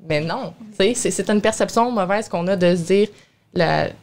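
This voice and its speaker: A woman's voice: medium pitch, moderate pace, a little mellow. A young woman with a Québécois French accent explains things in a casual, informal interview style. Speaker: guest nutritionist. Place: small radio studio, indoors. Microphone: boom-arm studio microphone with headphones.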